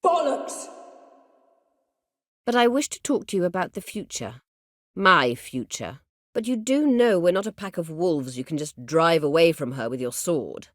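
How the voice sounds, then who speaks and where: An actress playing an imperious, scheming middle-aged sorceress in a video game, speaking formally and with measured, biting sarcasm. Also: female voice, lowish voice, big pitch swings, no echo, studio quality. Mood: commanding, angry, then measured, persuasive. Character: imperious, scheming sorceress, proud, sardonic and vengeful. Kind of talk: video game character dialogue.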